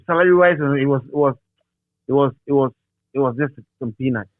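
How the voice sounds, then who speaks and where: A man's voice: mid-range voice, a little fast, no echo. A middle-aged man with a Ghanaian accent, reminiscing in a casual interview and often restating phrases for emphasis. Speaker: interviewee, radio DJ. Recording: thin, phone-like narrow-band line.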